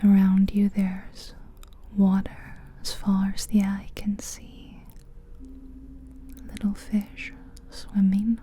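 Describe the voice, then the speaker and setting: Very soft whispering woman, slow and dark. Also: medium pitch, completely flat delivery. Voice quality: breathy whisper. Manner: gentle, soothing, unhurried with long pauses. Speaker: ASMR guide narrating a guided visualization. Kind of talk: soft-spoken ASMR guided visualization. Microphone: close-up ear-to-ear ASMR microphone.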